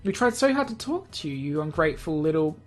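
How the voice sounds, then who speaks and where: A woman playing a self-important, excitable young man in a visual novel, urgent and incredulous, repeating himself. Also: low-pitched, quick pace. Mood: exasperated.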